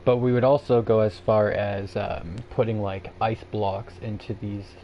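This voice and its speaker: A man's voice: on the low side, fairly even intonation, a little slow, muffled recording, slight background noise. A man with a Canadian accent chatting casually on a livestream, rambling with lots of fillers and restarts as he answers viewers. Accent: Canadian English. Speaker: streamer answering chat.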